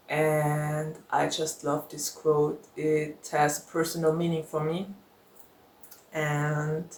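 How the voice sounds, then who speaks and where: A woman whose first language is German, chatting casually to her viewers in a Q&A vlog, frank and laughing often. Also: sits low. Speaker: book YouTuber. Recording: echoey room.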